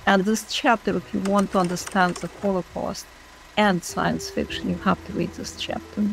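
A woman's voice: medium pitch, fairly even intonation, moderate pace, gravelly, slight background noise, low-quality recording. A middle-aged woman giving unscripted commentary as an academic expert in an interview. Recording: music behind the voice.

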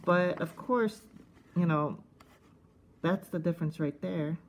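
A woman giving casual, unscripted hands-on review commentary in a halting, conversational way, thinking aloud. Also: fairly low pitch. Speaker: host of a cassette collecting video channel. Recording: faint background noise, casual tablet recording.

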